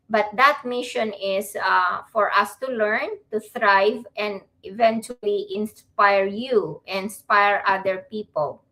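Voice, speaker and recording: A woman from the Philippines co-hosting a live stream, speaking with many pauses and fillers. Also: mid-range voice, bright, forceful tone, poor audio. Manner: hesitant, many fillers and restarts. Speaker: co-host. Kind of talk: casual live-stream chat.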